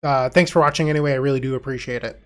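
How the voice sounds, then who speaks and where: A man's voice: mid-range voice, fairly even intonation, rapid-fire. Congested man narrating a card game. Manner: self-deprecating, thinking aloud. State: congested, nasal from illness. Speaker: gameplay commentator. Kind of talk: casual play-by-play commentary.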